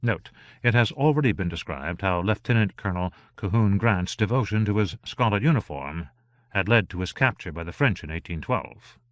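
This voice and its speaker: A man narrating an audiobook in a measured, even reading style. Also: low-pitched, no room sound.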